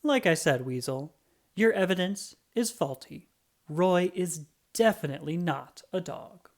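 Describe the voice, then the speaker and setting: A narrator acts out a haughty female storybook know-it-all. The voice is emphatic and dismissive, lecturing with widely swinging pitch. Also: lively intonation, unhurried. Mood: smug.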